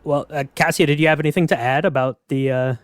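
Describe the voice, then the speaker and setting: A man's voice: fairly high pitch, steady tone, quick pace, dry recording, clean studio sound. A man hosting a podcast, chatting casually and explaining things in a tentative, hedging way. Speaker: podcast host. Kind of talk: casual chat about game lore.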